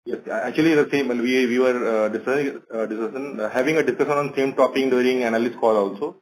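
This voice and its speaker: A man's voice: medium pitch, fast, slight background noise, poor audio. A man with an Indian accent, a bank executive methodically explaining points on an earnings conference call.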